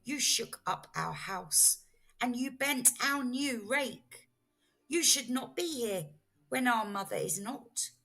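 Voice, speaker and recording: A woman's voice: fairly high pitch, expressive, slow, sharp tone, pitched above the performer's own voice, exaggerated voice. A woman voicing an anxious, indignant male pet fish who scolds and protests fussily in a children's storybook. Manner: fussy, emphatic protesting. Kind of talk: children's storybook read-aloud.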